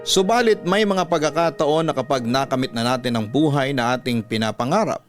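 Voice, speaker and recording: A man with a Filipino accent narrating listener letters as a radio host, in a measured, emotive scripted style. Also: medium pitch. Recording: music bed under voice. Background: music.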